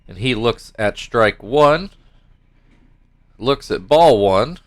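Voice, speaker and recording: A young man with a stuffed-up nose talks casually over a baseball video game. His middle-pitched voice slips between rambling, self-correcting asides and mock play-by-play calls. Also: lively intonation, slow. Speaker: gaming YouTuber commentating his own baseball game league.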